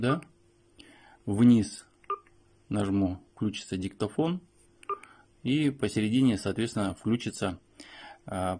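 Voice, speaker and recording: A man with a fairly low voice hosts an unscripted product review. He goes through things step by step, explaining in short pieces and often pausing to find the next word. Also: a little mellow. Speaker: host of a phone review.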